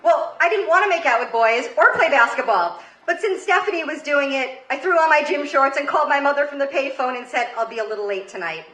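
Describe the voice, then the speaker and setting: A woman delivers a comedic personal story live, in a high-pitched, forceful voice. Her delivery is theatrical and deliberate, pausing to set up punchlines. The sound is roomy and live, as from a stage. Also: animated. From Queens, New York, United States. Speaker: comedy show host and storyteller. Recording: roomy live stage recording, faint noise. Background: audience ambience and faint noise.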